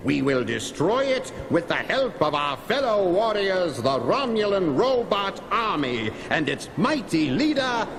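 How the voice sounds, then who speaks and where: Pompous, high-pitched alien villain commander. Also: male voice, sing-song, measured pace, few pauses, a bit bright, a little hiss or hum, heavily acted. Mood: grandiose, triumphant. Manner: pompous, declamatory, theatrical. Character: gloating, grandiose Romulan commander, an alien villain. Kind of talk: 1970s audio drama acting.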